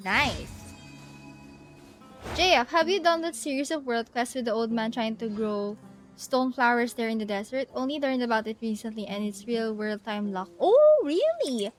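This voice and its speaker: A woman with a fairly high voice chats casually through a live video game stream. She thinks aloud in an easygoing, giggly way. Music plays behind her voice. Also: animated, moderate pace.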